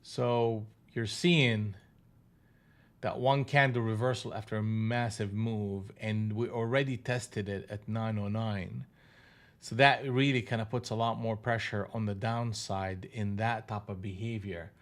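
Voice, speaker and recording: A man's voice: on the low side, steady tone, unhurried. A man hosting a live trading room, talking through chart moves in a casual way, often restarting and repeating phrases, with some mild swearing.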